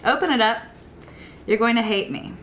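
A woman's voice: on the high side, normal speed, a little hiss or hum. Young woman telling a dating story. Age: 20s. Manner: animated storytelling, acting out quotes, often laughing. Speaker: podcast guest. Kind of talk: casual storytelling.

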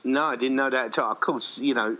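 A man's voice: mid-range voice, quick pace, bright, forceful tone. A man speaking conversationally as an interviewed theatre writer and director, explaining things in a rambling way and often restating himself.

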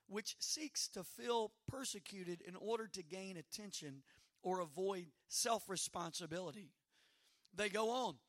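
A hoarse man preaching a sermon as pastor, stressing slow phrases and calling out to the congregation. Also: high voice, fairly bright tone, no room sound, clean studio sound. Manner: preaching with slow emphatic phrases and rising calls.

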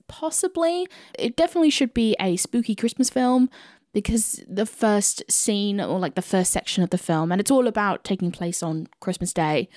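A woman's voice: fairly low pitch, darkish tone, dry recording, clean studio sound. A British woman hosting a film podcast, giving chatty, opinionated reviews in a conversational style. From United Kingdom.

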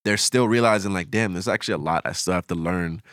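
A man's voice: sits low, quick pace, fairly bright tone, dry recording, studio quality. A man talking casually as a podcast guest, reflective and slangy as he shares opinions.

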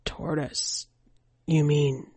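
A woman voicing a dazed, lustful old man under a spell in an audio story, halting and breathy. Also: sits low, flat delivery, warm tone, no echo, exaggerated voice.

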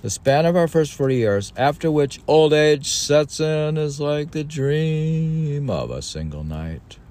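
A man's voice: a little high, expressive, takes it slowly, darkish tone. An older man reading a book aloud and adding casual, rambling, self-deprecating commentary of his own. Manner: rambling, musing, self-deprecating, repeats passages. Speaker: podcast host and reader.

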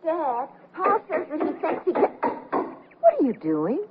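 A woman's voice: high voice, wildly swooping pitch, unhurried, full character voice. A woman playing a fond but doubting grown daughter in a radio comedy, reacting with exasperation. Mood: worried disbelief. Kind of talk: 1970s radio comedy acting. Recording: hissy, tinny 1970s radio broadcast.

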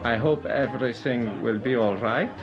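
An actor playing a courteous, brisk hotel guest in a radio drama. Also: male voice, medium pitch, lo-fi sound, music in the background, close to an everyday voice. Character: polite hotel guest awaiting a visitor.

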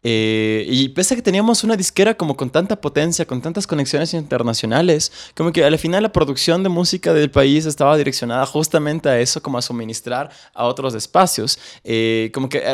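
A young man speaking Spanish with a Quito accent, a podcast guest who works through ideas out loud. He pauses on hesitant fillers and repeats words as he builds an analytical point. His pitch sits in the ordinary middle range for a man. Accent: Quito Ecuadorian Spanish. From Ecuador. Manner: analytical, thinks aloud with many hesitant fillers. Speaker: guest, economist. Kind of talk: conversational interview.

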